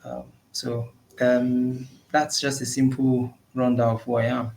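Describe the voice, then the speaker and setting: A young man with a Nigerian accent speaks in an earnest, composed way, keeping his pitch level and even. His voice sits mid-range for a man. He is heard over a video call, answering interview questions with measured care. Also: vocal fry, slight background noise, low-quality recording. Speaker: guest.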